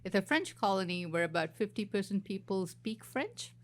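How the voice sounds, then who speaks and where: A woman's voice: mid-range voice, lively intonation, moderate pace. A woman from southern India, a company CFO, introducing herself on a conference panel in an earnest way with frequent fillers. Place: conference stage.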